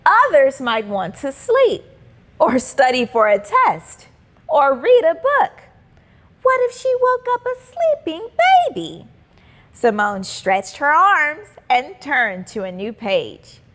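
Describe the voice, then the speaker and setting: A woman reading a children's storybook aloud as an expressive, deliberate narrator. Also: high voice. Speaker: children's book reader and host.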